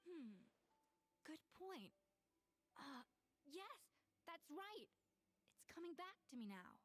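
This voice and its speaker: A woman's voice: high-pitched, sing-song, takes it slowly, fairly bright tone, heavily acted. A woman playing a confident, practical young adventuring chef in an anime video game, speaking in a thoughtful, assured way.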